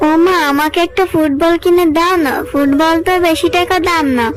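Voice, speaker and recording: A woman's voice: very high-pitched, fairly even intonation, moderate pace, faint music behind. A woman voicing a sweet, pleading little fox girl in a cartoon, with a childlike, coaxing manner. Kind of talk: cartoon dubbing.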